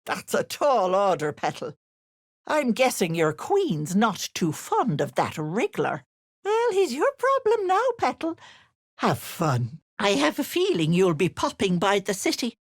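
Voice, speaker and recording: Game role: older potion-selling witch auntie, syrupy and scheming, slow delivery with wildly animated pitch. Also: slightly rough, no echo, clean studio sound, heavily acted. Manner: cooing endearments laced with menace. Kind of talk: video game character voice lines.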